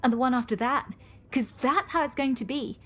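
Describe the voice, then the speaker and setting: Highish, wounded, bitter woman. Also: a little fast, a bit bright. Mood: hurt, bitter. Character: hurt, bitter, vulnerable woman feeling shunned. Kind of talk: radio soap acting.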